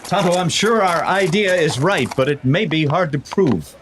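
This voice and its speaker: A man's voice: on the high side, moderate pace, background noise, lightly acted. A man playing a resolute, upright masked hero in a western radio play, earnest and commanding. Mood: confident.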